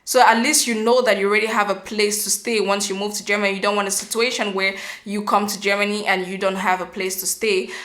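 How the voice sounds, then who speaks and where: A young woman from Cameroon, giving earnest step-by-step advice in a vlog, often pausing on "um" and restarting. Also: medium pitch, little pitch movement, a bit bright. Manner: earnest, explanatory, frequent um fillers and restarts. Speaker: vlogger.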